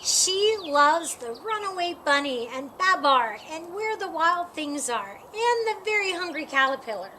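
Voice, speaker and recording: High-voiced woman reading a storybook, birdsong behind. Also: sing-song, a little slow, hardly any pauses, bright, forceful tone. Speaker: storytime reader. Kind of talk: children's picture book read-aloud. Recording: clearly noisy, with birdsong behind. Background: birds chirping.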